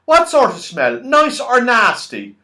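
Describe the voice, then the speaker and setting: Comic muddled little man for a children's storybook male reader acting, high, loud, puzzled, very swoopy delivery. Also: wildly swooping pitch, slow pace, exaggerated voice. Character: muddled, hard-of-hearing little Saucepan Man, indignant and curious.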